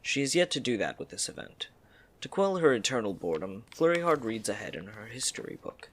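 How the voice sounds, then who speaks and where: A man's voice: medium pitch, big pitch swings, brisk, fairly bright tone. A man reading scripted story narration for an audio drama.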